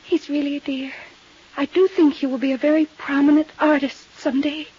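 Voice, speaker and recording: An actress playing a fragile, unhinged wealthy widow in a 1950s radio drama, rambling in halting, repetitive phrases. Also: female voice, sits high, level tone, dark tone, lightly acted. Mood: woozy, chatty. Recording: muffled, hissy 1950s radio recording.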